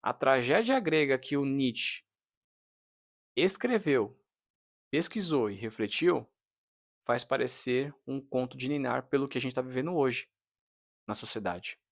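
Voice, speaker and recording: A man's voice: mid-range voice, animated, unhurried, creaky, long pauses. A man, talking earnestly to camera in a casual vlog and confiding in his viewers. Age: 30s. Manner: earnest, confiding, frequent "né?" tags. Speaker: vlogger, fitness trainer.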